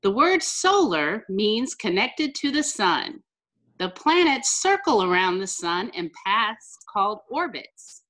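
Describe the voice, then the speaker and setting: A middle-aged American woman, a teacher reading a picture book aloud to children with careful, deliberate enunciation over a video call. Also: mid-range voice, big pitch swings, fairly bright tone. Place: small room indoors, on a video call.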